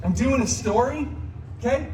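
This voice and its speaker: A man's voice: very high-pitched, lively intonation, normal speed, background noise. A man playing a flamboyant, sarcastic antihero, telling a mock fairy tale live on stage with theatrical, chatty asides. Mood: chatty, hurried. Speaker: costumed theme park storyteller. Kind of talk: live comedic storytelling. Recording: echoey live show, distant, loud music. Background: loud music.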